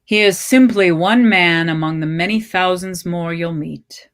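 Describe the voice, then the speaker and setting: A woman's voice: lowish voice, unhurried, clean tone. Woman reading a cool, possessive male lover. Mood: smug calm. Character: calm, possessive, controlling male lover, coolly insistent.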